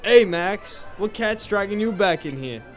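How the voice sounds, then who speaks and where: A man's voice: high-pitched, expressive, measured pace, soft background music. A man playing a friendly nightclub bartender in a noir audio drama, folksy and hesitant as he informs. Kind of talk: noir radio drama dialogue.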